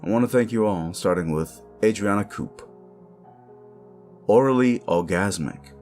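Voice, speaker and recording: A man's voice: fairly low pitch, a little slow, a little mellow, soft background music. A man speaking casually to his listeners as the creator and host of an audio channel. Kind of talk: casual outro addressed to listeners.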